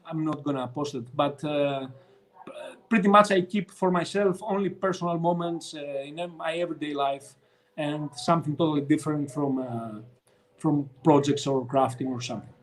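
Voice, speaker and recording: A man's voice: a little high, moderate pace. Hesitant Greek-accented man. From Greece. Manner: hesitant, many uhs and restarts. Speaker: guest, craft teacher. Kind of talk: casual live chat.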